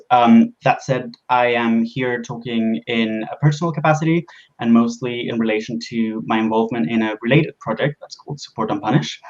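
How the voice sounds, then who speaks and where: A man from Venezuela, introducing himself as a panelist in a measured way with frequent ums. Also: medium pitch. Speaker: panelist, drug policy advocate. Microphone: video call. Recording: slightly dull lo-fi call audio.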